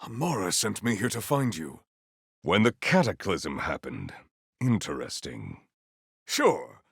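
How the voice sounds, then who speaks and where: A male actor voicing a proud, potent warrior in a video game, with formal, grandiose, deadpan delivery. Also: deep voice, unhurried, creaky, husky, no room sound, clean studio sound, heavily acted. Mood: stoic, formal. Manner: formal, stilted, grandiose diction. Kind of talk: scripted video game character lines.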